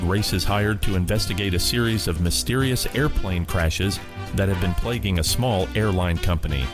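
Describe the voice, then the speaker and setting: A man hosting an old-time radio podcast, reading a scripted introduction. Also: deep voice. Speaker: podcast host. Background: music.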